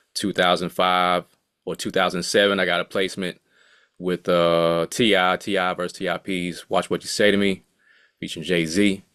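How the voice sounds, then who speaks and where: A man's voice: deep voice, fairly bright tone, smooth, no room sound, clean studio sound. A man in his late thirties, a music producer speaking as an interview guest in a laid-back, rambling way full of asides. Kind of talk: casual interview talk.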